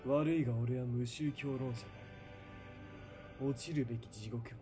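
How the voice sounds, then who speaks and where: A man playing a ruthless, greedy military police commander in a period radio drama, domineering and sneering, moving between cold murmurs and shouting. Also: medium pitch, fairly even intonation, moderate pace, music in the background, natural voice. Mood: mocking.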